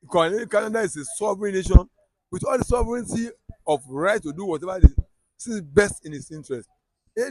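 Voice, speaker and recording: Emphatic Nigerian man, high voice. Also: no echo, poor audio. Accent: Nigerian, Yoruba. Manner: emphatic, repetitive, stumbles and restarts often. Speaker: commentator. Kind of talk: heated political talk.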